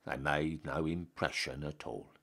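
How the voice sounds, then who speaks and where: Gravelly, low-voiced Northern English man reciting. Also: fairly even intonation, a little slow, fairly bright tone. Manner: dramatic, storytelling delivery. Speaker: reciter, town crier. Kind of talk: theatrical recitation of a comic dialect monologue.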